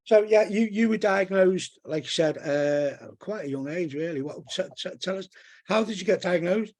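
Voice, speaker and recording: A man with a Scouse accent hosting a casual interview, chatty and encouraging with quick back-and-forth. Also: high-pitched, darkish tone. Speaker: podcast host.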